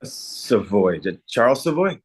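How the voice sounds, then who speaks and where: A helpful small-town man, played by an actor at a table read. His voice is low and husky, and his manner is a little hesitant. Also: big pitch swings, measured pace, heavily acted. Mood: concerned, helpful.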